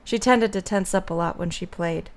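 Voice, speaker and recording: A woman's voice: lowish voice, normal speed. A woman narrating a scripted story as the narrator of an audio drama.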